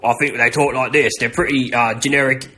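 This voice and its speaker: A man voicing a pompous, idle English gentleman with a generic English accent in a storybook reading. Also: medium pitch, fairly even intonation, brisk, natural voice.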